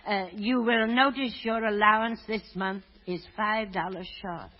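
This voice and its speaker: An older actress playing a poor, anxious, doting old woman who sells apples, with halting, fretful delivery in a 1930s radio drama. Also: medium pitch, fairly even intonation, close to an everyday voice. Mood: tender, muttering. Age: 60s or older. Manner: halting, fretful, muttering to herself. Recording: dull vintage radio recording.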